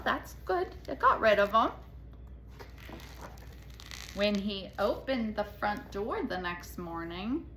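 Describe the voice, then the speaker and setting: A woman reading a children's picture book aloud as a storyteller, expressively and dramatically, with pauses. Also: a little high. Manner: dramatic, with hushed asides and pauses. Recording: echoey room with faint noise.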